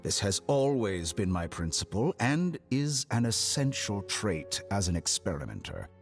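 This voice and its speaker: An arrogant scholar villain for a video game, with a low voice that swings expressively through measured, unhurried phrases. He sounds coolly amused, formal and condescending. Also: huge pitch swings, creaky, faint music behind, heavily acted. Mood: amused, calculating. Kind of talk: theatrical video game voice acting.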